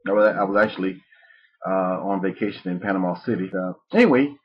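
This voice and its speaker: A man's voice: sits low, fast talker, breathy edge. A man from Mississippi, presenting a live webinar in a rambling, folksy way with restarts. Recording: muffled lo-fi webinar audio.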